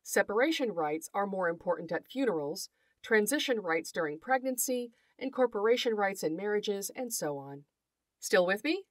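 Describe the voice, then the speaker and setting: A woman hosting an educational podcast, reading scripted narration in a measured, articulate and formal way. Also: mid-range voice, expressive, no room sound. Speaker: podcast host, folklore scholar.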